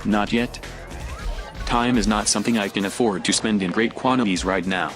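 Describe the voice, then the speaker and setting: A controlled, intimidating science-fiction operative, played by a man. His voice sits on the low side and his delivery is terse and commanding, over clearly audible music. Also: normal speed, close to an everyday voice. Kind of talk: science-fiction film dialogue.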